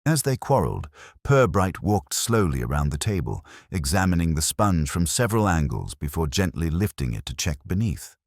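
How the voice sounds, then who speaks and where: Male narrator, very deep, expressive pitch, scripted mystery storytelling, dry close sound. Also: moderate pace, no room sound.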